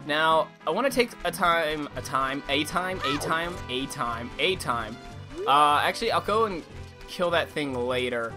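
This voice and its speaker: A young man with a slight lisp giving casual, rambling commentary over a video game, swearing freely and now and then breaking into silly high voices. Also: a little slow. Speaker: let's play commentator. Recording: game music loud behind voice.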